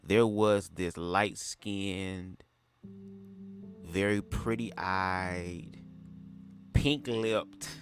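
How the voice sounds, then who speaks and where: A man hosting a casual livestream, chatty and slangy, talking straight to his viewers with a stuffy nose. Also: low-pitched. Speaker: livestream host.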